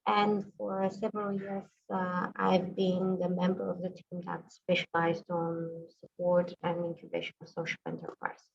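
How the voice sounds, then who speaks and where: A woman's voice: lowish voice, completely flat delivery, normal speed, vocal fry, hardly any pauses. A woman from Bulgaria, introducing herself carefully on a video call, with fillers. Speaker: chamber of commerce staff. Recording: muffled video call with faint room noise.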